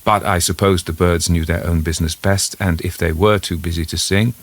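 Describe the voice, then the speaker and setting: A man reading a scripted first-person literary story as its narrator, in a measured storytelling manner. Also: deep voice, steady tone.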